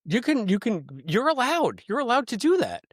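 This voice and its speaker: A man's voice: very high-pitched, huge pitch swings, moderate pace, no echo, clean studio sound. A man co-hosting a podcast in casual chat, rambling and playful, laughing often. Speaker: podcast co-host.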